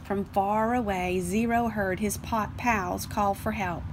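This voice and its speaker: A woman reading a children's picture book aloud in an expressive storytelling style, acting out the dialogue. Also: mid-range voice, noisy background. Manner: measured, acts out dialogue.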